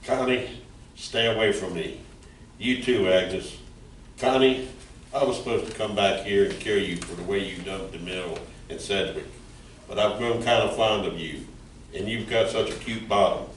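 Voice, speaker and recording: A man playing a smooth-talking, menacing gangster in an amateur stage reading. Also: on the low side, moderate pace, lightly acted. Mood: threatening. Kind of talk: amateur community theatre reading. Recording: roomy, distant mic with background noise.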